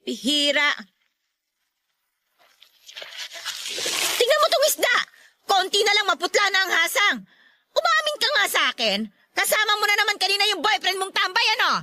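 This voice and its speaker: A woman's voice: very high voice, big pitch swings, a little fast, sharp tone, no echo, full character voice. A woman playing an angry, nagging middle-aged mother in a Filipino radio drama, harsh and sharply emphatic. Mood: suspicious, nagging. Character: angry, scolding mother who scorns her daughter's boyfriend.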